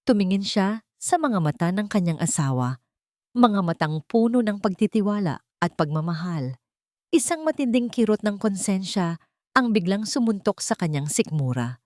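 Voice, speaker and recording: A woman's voice: medium pitch, a little mellow, no echo, studio quality. A woman giving a dramatic scripted narration of a love story.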